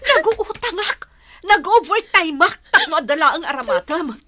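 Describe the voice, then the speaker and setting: A woman's voice: extremely high pitch, very animated, moderate pace, pushed and bright, full character voice. A woman acting a hardworking, strict elder sister in an audio drama, reproachful and emphatic in manner. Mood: furious, hurt. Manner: emphatic, scolding.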